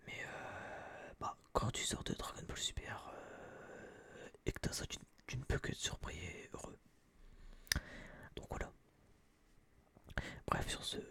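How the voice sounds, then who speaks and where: A young man whispering a casual, slangy, rambling review in breathy ASMR style, close to a binaural microphone. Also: fairly low pitch, bright, forceful tone. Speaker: ASMR video creator.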